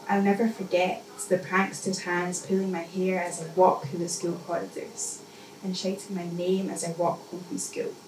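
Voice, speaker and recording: Female poet, measured live reading with deliberate repetition, recorded from far off with background noise. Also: lowish voice, a little mellow. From Scotland. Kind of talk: spoken-word poetry reading. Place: indoor live poetry event. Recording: echoey, noisy room, mic distant.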